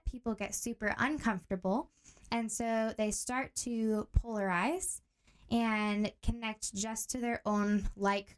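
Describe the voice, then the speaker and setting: Young woman from Tanzania, podcast guest, steady teacher-like explainer, mid pitch. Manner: calm, steady explaining with clear examples. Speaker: guest, director of training.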